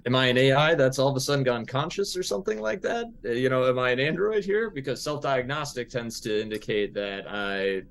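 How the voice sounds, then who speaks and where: A man playing a tabletop role-playing game in casual improvised speech, haltingly mulling things over aloud. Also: mid-range voice, expressive, gravelly, a bit bright.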